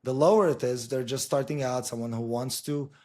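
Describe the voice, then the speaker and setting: A man's voice: mid-range voice, fairly even intonation, a little fast, warm tone. A man from Portugal gives an informal explainer in a blunt, emphatic, sweary way, often checking in with short tag questions. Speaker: marketing agency co-founder presenting.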